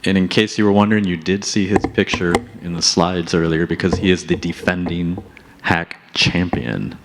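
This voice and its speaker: A man emceeing a hackathon, announcing the teams briskly. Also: deep voice, a little hiss or hum. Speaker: event emcee. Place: conference stage.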